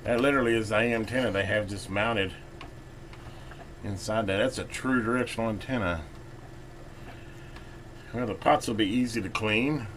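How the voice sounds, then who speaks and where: Low-voiced man, noisy recording. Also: steady tone, normal speed, long pauses, fairly bright tone. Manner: thinking aloud while working. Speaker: electronics repair hobbyist and video host. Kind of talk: casual hands-on repair commentary. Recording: clearly noisy hobbyist video recording.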